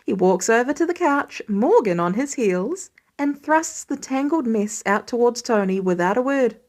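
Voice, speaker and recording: A woman's voice: on the high side, expressive, normal speed, a little mellow. A woman reading a scripted piece of fan fiction as narration. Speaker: fan fiction podfic reader.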